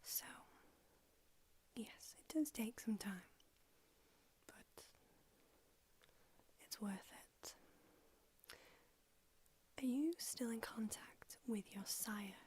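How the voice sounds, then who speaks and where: A woman's voice: medium pitch, a little mellow, a little hiss or hum, lightly acted. A woman whispering in an ASMR roleplay, voicing a brusque, haughty old female vampire doctor with a dry manner.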